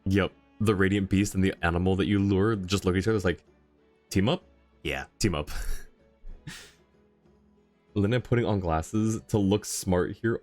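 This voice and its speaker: Low-voiced man, casual game livestreamer. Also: a little mellow, no echo. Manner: chuckling, wry, conversational. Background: faint game music.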